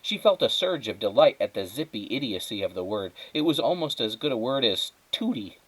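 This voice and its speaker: Male teacher-narrator for a children's storybook: mid-pitched, very animated intonation, dramatic pauses, measured phrasing. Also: breathy edge, rough recording. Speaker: teacher reading aloud to students.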